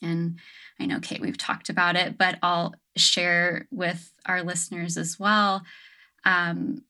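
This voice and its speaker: Reflective woman with lower voice. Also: level tone, takes it slowly, pushed and bright. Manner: reflective, many fillers like "um" and "like". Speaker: guest. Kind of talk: casual interview conversation. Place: her home on an island.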